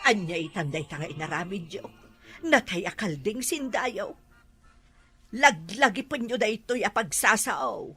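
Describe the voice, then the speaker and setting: A woman's voice: medium pitch, lively intonation, a little slow, sharp tone, slightly rough, full character voice. A woman playing a stern, moralising villager in a comedy drama, with an Ilocano accent. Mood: reproachful. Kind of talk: Ilocano comedy radio-style drama acting.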